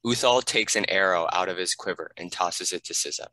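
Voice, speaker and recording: A man's voice: low-pitched, a little fast, pushed and bright, no echo, poor audio. A man reading scripted stage directions as a narrator.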